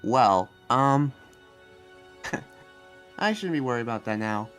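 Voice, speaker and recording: An earnest demon slayer who doubles as a researcher, played by a man in an anime Minecraft roleplay. He talks in a mid-pitched voice, halting and often trailing off as he explains things, with faint music underneath. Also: lively intonation, fairly bright tone. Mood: uneasy, businesslike. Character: young male demon slayer and researcher, earnest, hesitant guide.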